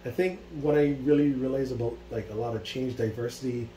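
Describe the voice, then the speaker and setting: A man's voice: mid-range voice, fairly even intonation, brisk, dark tone. A man from Barbados hosting a casual interview, enthusiastic and peppering his talk with "like". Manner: enthusiastic, repeats "like" often. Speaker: interview host. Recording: echoey room with faint hum.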